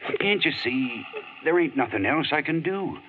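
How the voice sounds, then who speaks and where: A man's voice: fairly high pitch, expressive, normal speed, lightly acted. A man playing a folksy rancher stepfather with a coaxing drawl in a 1940s radio drama. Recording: tinny, faintly hissy radio broadcast.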